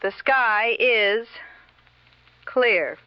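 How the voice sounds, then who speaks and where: An actress playing a composed 1960s radio co-host who speaks with deadpan, wry understatement. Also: female voice, on the high side, extremely slow, smooth, no room sound, muffled recording. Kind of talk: 1960s radio broadcast acting.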